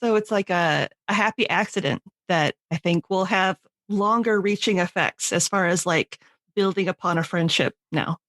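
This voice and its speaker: A woman's voice: fairly low pitch, moderate pace, a bit bright, dry recording. A woman hosting a podcast in a casual, giggly, chatty way. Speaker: podcast host. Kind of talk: casual chat.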